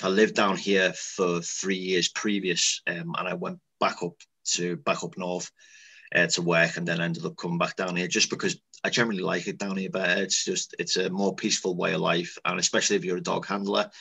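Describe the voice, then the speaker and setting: A young man with a Liverpool accent speaks over a webcam call from a small room. His voice sits very low and flat, with a gravelly, husky edge but a bright, forceful tone. He talks in a loose, matter-of-fact, conversational way. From Liverpool, England. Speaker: security dog handler guest. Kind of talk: casual video-call interview. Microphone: webcam on video call.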